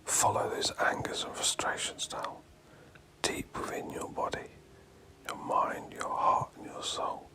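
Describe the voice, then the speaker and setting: A man guiding a meditation in a breathy whisper, speaking in short, hypnotic phrases with pauses and repeated words. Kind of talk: guided meditation, hypnotic and repetitive.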